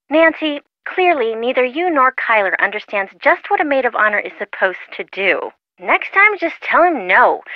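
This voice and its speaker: An actress playing a girly, sentimental young woman, expressive and fussy, chiding her friends through a telephone filter. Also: a little high, no echo. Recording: muffled, lo-fi phone-call sound.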